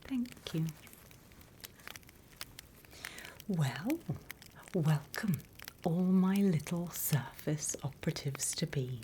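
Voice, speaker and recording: A British woman playing a sly, mock-stern demon teacher in a whispered ASMR roleplay, addressing her trainees with deliberate, teasing menace. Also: low voice, animated, warm tone, a little hiss or hum. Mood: mock-stern, welcoming.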